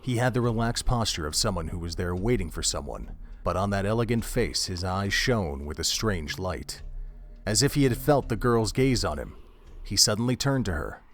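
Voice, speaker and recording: Measured, low-voiced male narrator. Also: lively intonation, fairly bright tone. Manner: measured, descriptive storytelling. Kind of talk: scripted audiobook narration.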